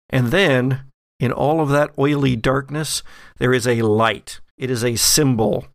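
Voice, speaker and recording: A man runs a horror tabletop game as game master, narrating slowly and descriptively. His voice has a gravelly creak, and it is recorded close and dry. He builds suspense deliberately. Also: medium pitch, dry recording.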